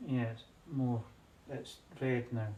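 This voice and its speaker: A man's voice: medium pitch, little pitch movement, slow, dull audio, noisy background. A man helping with a studio demonstration, making casual offhand remarks about the work in front of him. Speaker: glass-pulling helper in a studio demonstration. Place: glass studio workshop.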